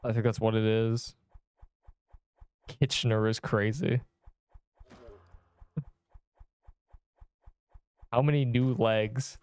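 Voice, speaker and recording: Rambling young Canadian streamer. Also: male voice, on the low side, steady tone, slow pace, pauses often, a little mellow, smooth. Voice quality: rambling, self-interrupting chatter. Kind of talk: casual live-stream chat while gaming.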